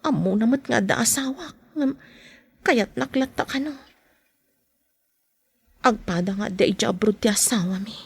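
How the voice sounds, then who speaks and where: A woman acting a married mother who keeps a secret, in a soft confiding podcast drama style. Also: a little high, moderate pace, creaky, long pauses, husky, no room sound, exaggerated voice. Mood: secretive. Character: married mother at home, drawn into a secret affair. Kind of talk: Philippine podcast radio drama acting with narration.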